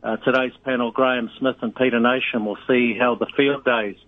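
A man's voice: medium pitch, steady tone, no room sound. A middle-aged New Zealand man rambles down a tinny phone line. He halts and restarts often, filling gaps as he goes, and the line sounds thin and dry. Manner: rambling, halting with many fillers. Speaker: radio host, guest. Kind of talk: casual radio chat.

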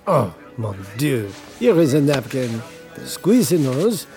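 An actor voices a theatrical waiter who bows and scrapes with a put-on French accent and nervous stammers. His pitch leaps around expressively, with faint music underneath. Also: big pitch swings, takes it slowly, mellow. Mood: fawning. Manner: stammering, overly polite, theatrical. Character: male café waiter faking a French accent. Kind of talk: comedic audio drama.

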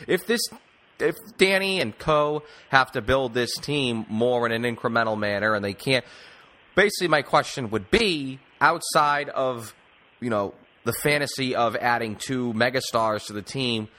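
A man's voice: medium pitch, moderate pace, bright, forceful tone. A man hosting a casual sports podcast, rephrasing as he circles toward long, rambling questions.

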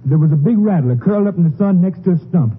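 An actor playing a frightened, deferential farmhand held prisoner in a 1950s radio western, folksy, with a Southern US accent. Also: male voice, high voice, lively intonation, quick pace, breathy edge, phone-quality sound, heavily acted. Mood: earnest, folksy. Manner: humble, folksy, respectful. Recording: thin, band-limited 1950s radio recording.

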